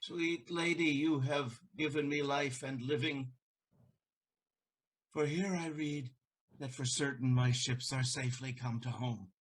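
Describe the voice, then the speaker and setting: Slow, grave elderly merchant played by a man. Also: mid-range voice, steady tone, lightly acted. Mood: stunned, grateful. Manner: measured, solemn. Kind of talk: Shakespearean audio-play acting.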